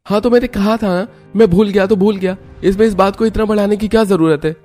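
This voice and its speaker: Man playing an apologetic young husband, mellow voice. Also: high-pitched, fairly even intonation, fast talker, faint music behind, lightly acted. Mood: defensive, irritated. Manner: placating, defensive. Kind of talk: cartoon story dialogue.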